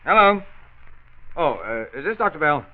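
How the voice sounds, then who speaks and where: An actor playing a hot-tempered, driven factory owner in a 1940s radio drama, blustering and emphatic. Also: male voice, a little high, very animated, moderate pace. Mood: earnest, pressing. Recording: muffled, noisy 1940s radio transcription.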